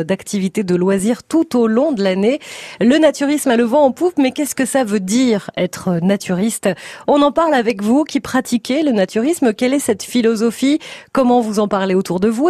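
A woman hosting a radio call-in show, talking in a lively, chatty way and often jumping in with questions. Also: a little high, dry recording, clean studio sound. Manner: lively, chatty, quick to interject. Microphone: studio microphone.

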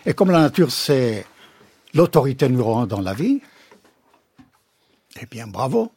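A man's voice: unhurried, breathy edge, no room sound. A middle-aged man, a philosopher and non-native speaker of the language, giving an ironic, emphatic explanation in a radio studio interview. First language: not French. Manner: expressive, ironic, emphatic with sudden pitch jumps. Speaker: philosopher guest.

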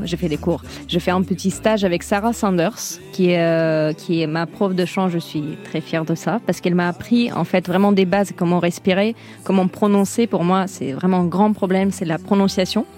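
Woman, hesitant, searching for words, mellow voice, slightly low. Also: normal speed, hardly any pauses, soft background music. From Ukraine. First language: Russian. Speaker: singer guest. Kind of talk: radio interview chat.

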